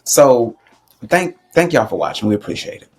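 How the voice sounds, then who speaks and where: A man co-hosting a casual chat show, colloquial and animated as he gives opinions and tells stories. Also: mid-range voice, moderate pace, vocal fry, poor audio. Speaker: podcast co-host.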